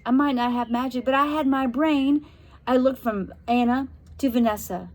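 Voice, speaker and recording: High-pitched woman reading a spooky story aloud. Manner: expressive, suspenseful, deliberate phrasing. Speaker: storybook reader. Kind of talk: dramatic read-aloud narration of a spooky children's novel.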